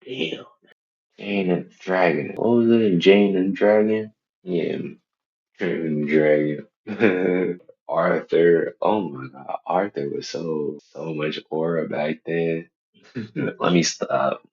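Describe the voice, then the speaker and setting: Very deep-voiced young man, slangy and joking. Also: slow pace, highs cut off. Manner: slangy, profane, joking, repeats phrases. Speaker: reaction video host. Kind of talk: casual unscripted reaction commentary.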